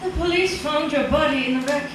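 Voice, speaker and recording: An actress playing a distraught, timid middle-aged wife in an old-time radio thriller, tearful, hesitant and pleading. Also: female voice, high voice, fairly quick, background noise, lightly acted. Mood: anxious, protesting. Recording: echoey distant radio-drama recording with music.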